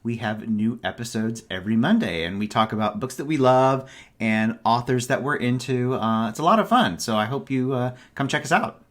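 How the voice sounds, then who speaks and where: A man's voice: mid-range voice, normal speed. A man who podcasts, speaking casually as a guest on a show. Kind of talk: casual chat.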